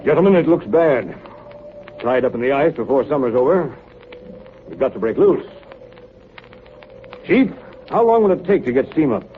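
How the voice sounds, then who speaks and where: An actor playing a stern, commanding ship's captain giving clipped orders in a 1930s radio drama. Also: male voice, medium pitch, very animated, moderate pace, long pauses, close to an everyday voice. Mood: grim, resolute. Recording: tinny, noisy 1938 radio broadcast.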